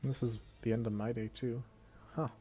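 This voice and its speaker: A man's voice: medium pitch, steady tone, normal speed, dark tone. A man doing casual let's play commentary on a video game. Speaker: gaming streamer.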